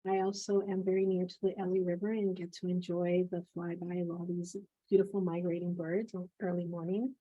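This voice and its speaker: A woman's voice: on the low side, little pitch movement, fairly quick, hardly any pauses, warm tone, no echo, dull audio. A woman who cares for land and grows vegetables, introducing herself on a video call in an earnest way. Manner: earnest, with fillers. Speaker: land caretaker and grower. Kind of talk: casual self-introduction.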